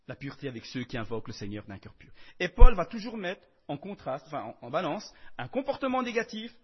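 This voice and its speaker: A man preaching a sermon in French, emphatic, swinging between loud declarations and soft asides. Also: on the high side, slightly rough, highs cut off.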